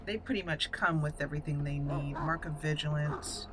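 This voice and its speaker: A woman's voice: deep voice, fairly even intonation, moderate pace, over music. A woman hosting a casual game walkthrough, thinking aloud in short fragments as she plays. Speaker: gaming channel host.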